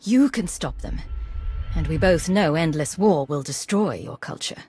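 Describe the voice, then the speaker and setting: A woman playing a composed, assured agent and commander in a video game, speaking in a measured, decisive way. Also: low-pitched, expressive, darkish tone, breathy edge, heavily acted. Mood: calm, earnest.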